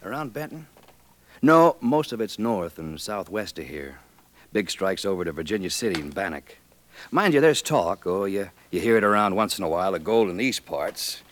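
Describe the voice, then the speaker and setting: Contented frontier editor: American, neighbourly and easygoing, slightly low register, 1950s radio acting. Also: male voice, lively intonation, moderate pace, fairly bright tone. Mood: easygoing, affable. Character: affable, contented small-town newspaper editor, married man. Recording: vintage 1950s radio recording.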